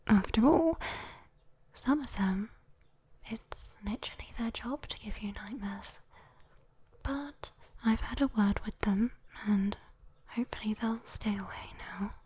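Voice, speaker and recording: A woman with a British accent voicing a sweet, teasing, possessive demon girl in a soft, intimate whispered ASMR roleplay. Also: medium pitch, husky. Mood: possessive, coaxing. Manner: slow, coaxing, intimate, playful. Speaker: ASMR voice performer.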